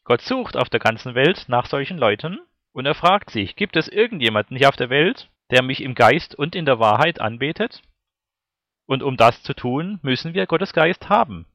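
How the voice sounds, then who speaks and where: A male preacher with a mid-pitched voice delivers a sermon in a formal, measured manner. His intonation swings widely as he moves between reading and explaining. The sound is dry, with very little room around the voice. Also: expressive, a bit bright, dry recording. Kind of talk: sermon with scripture and verse readings.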